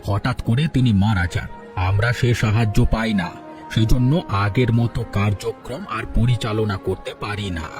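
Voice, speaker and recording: A man's voice: fairly low pitch, fairly even intonation, moderate pace, natural voice. A man voicing a proud village headman in a cartoon, authoritative and coaxing. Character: village headman and teacher, proud, two-faced. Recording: soft music under the voice.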